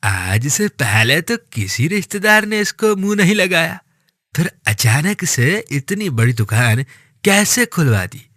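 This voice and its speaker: Man doing a nosy, envious village neighbour, animated. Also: on the high side, measured pace, no echo, clean studio sound, heavily acted. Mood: suspicious, envious. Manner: suspicious, muttering to himself. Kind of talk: animated moral story voice acting.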